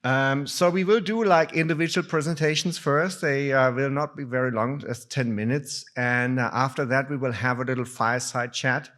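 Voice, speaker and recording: A man moderating a conference session, introducing speakers partly from notes in a halting, hesitant way. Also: mid-range voice, steady tone, fairly bright tone.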